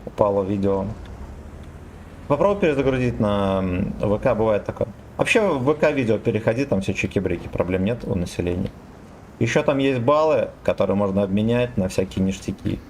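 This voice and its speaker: Brief: young male streamer, lowish voice, jokey and profane, faint game sounds under him. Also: expressive, fairly quick, darkish tone, slight background noise. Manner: joking, swears freely, reads chat aloud. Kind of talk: casual game stream chatter.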